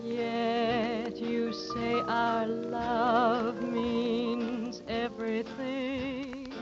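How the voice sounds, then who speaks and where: A woman's voice: on the high side, flat delivery, extremely slow, over loud music. A woman singing a 1940s ballad as a radio show vocalist. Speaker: singer. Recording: muffled old radio recording with band.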